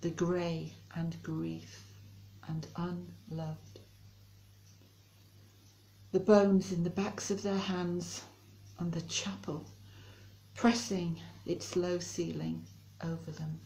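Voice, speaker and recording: A woman reciting poetry in a slow, measured delivery as a reader. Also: lowish voice, steady tone, gravelly, warm tone, low-quality recording. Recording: faint background noise and ambience.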